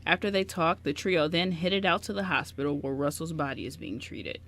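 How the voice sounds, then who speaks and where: A woman's voice: deep voice, moderate pace, fairly bright tone. A woman on a radio show reading a story synopsis aloud, stumbling now and then and correcting herself. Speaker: radio show cast member.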